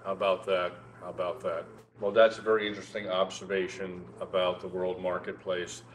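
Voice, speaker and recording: A man's voice: sits low, level tone, fairly quick. A man in his sixties conducting a conversational interview, repeating short phrases of agreement. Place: indoor show room, seated. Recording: background noise with faint hum.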